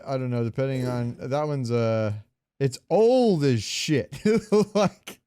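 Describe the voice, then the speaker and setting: A man's voice: mid-range voice, lively intonation, takes it slowly, dark tone, clean tone, dry recording. A man hosting a casual gaming podcast, joking and opinionated. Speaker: podcast host.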